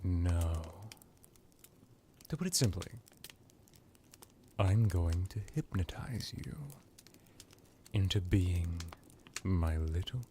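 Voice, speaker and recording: A man's voice: low-pitched, slow pace, long pauses, mellow, slight background noise. A man playing an ancient vampire lord in an ASMR roleplay, seductive, teasing and menacing, with a theatrical delivery that drifts into whispers. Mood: coaxing, menacing.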